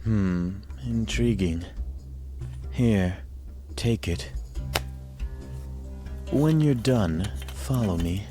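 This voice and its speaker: A man's voice: fairly low pitch, drawn-out words, long pauses, warm tone, soft background music. A man playing a regal, benevolent desert ruler and master in a fantasy audio drama, speaking with formal authority. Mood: commanding, calm.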